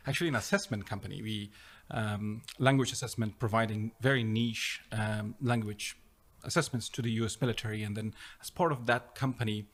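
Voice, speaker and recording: A man's voice: fairly low pitch, fairly even intonation, a little fast, few pauses. A middle-aged man from Afghanistan, a startup founder telling his story in an interview, with many fillers and restarts. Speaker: startup co-founder and CEO, guest. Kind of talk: conversational interview.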